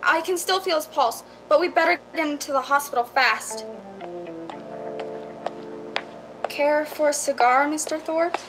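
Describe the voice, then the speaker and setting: A woman playing a calm, practical real estate agent in a radio drama, matter-of-fact and reassuring. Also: high-pitched, normal speed, over music, natural voice, low-quality recording.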